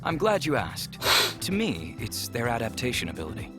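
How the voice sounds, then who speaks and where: An actor voicing a curious, polite alien scholar in a visual novel game, speaking in measured, earnest sentences. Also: male voice, medium pitch, huge pitch swings. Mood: earnest, scholarly. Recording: music clearly playing behind.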